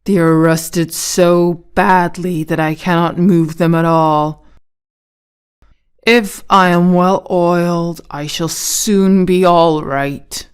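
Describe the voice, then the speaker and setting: A woman narrator puts on a gentle, mournful man of tin from a storybook. The voice is slightly low and very slow, with a clean, smooth tone. Each phrase comes out deliberate and well-mannered. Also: steady tone. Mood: stiff, pleading. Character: polite, sad man made of tin, rusted stiff.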